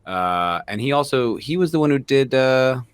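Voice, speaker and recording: A man's voice: medium pitch, expressive, normal speed, smooth, no echo. A man chatting casually as a co-host, reacting informally and asking questions as a layman. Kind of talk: casual chat.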